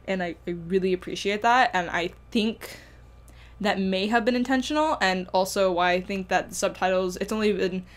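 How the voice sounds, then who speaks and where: A woman giving a casual spoken review of a music video, talking in a halting way full of fillers and restarts. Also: medium pitch.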